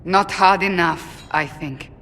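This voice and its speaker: A woman's voice: fairly low pitch, slow, a bit bright. A woman playing a proud, earnest, self-reproaching warrior in a video game, speaking formally and intensely. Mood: bitter self-reproach. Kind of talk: dramatic video game acting. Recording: long hall reverb, background noise.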